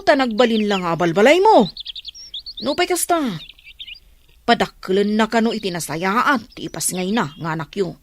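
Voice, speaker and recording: A woman playing a concerned, talkative village woman who gives frank advice in an Ilocano radio drama. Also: a little high. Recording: noisy track with birdsong and clicks.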